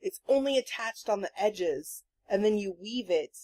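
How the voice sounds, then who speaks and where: A woman hosting a podcast in casual chat, telling rambling stories in an animated, sweary way. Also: medium pitch, moderate pace. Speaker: podcast host.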